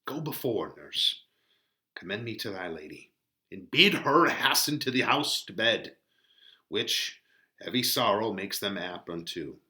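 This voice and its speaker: Male reader as scolding friar, Shakespeare stage reading, declamatory, exasperated, animated and sharp-edged. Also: lowish voice, unhurried, pitched below the performer's own voice, heavily acted. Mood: calmer, instructing. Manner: emphatic, declamatory, archaic verse. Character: exasperated, scolding older friar, a man, urging good sense. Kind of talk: dramatic reading of a Shakespeare play.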